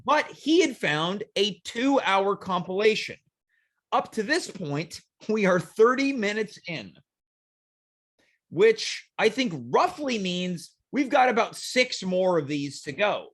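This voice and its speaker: A man hosting a talk show, with a deliberate, dramatic delivery and measured pauses. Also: high-pitched, very animated, a bit bright. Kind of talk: deliberate, theatrical show intro and banter.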